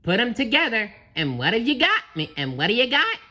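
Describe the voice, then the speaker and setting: A man voicing an eager, cheerful young cartoon sponge, speaking in an excitable, earnest and encouraging way. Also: very high voice, fairly quick, pitched above the performer's own voice, exaggerated voice. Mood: eager, patient.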